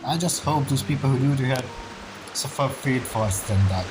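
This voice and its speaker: A man giving casual, halting gameplay commentary, thinking aloud as a streamer. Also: medium pitch, lively intonation, poor audio. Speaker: game streamer. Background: game music.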